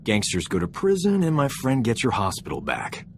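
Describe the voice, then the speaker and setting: A man's voice: medium pitch, wildly swooping pitch, normal speed, slight background noise. An actor playing a roguish, flippant combat medic and ladies' man in a video game. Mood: cocky, flippant. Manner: glib, breezy, self-satisfied, flippant.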